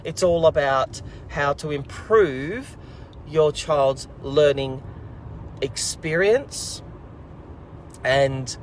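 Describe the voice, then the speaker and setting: A man's voice: fairly high pitch, very slow, long pauses, a little hiss or hum. A man, hosting a children's learning program and chatting casually to camera in an upbeat, rambling, encouraging way.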